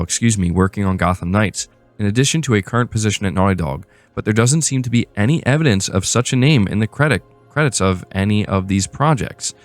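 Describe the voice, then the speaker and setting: A man hosting a gaming news show, moving between read-out reports and casual commentary. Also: on the low side. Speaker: host of a gaming news channel.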